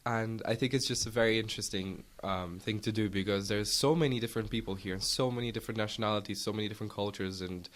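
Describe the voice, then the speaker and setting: Young male student interviewee, slightly low voice, casual delivery. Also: fairly even intonation, fast, hardly any pauses. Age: young adult. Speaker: student photographer, interview guest.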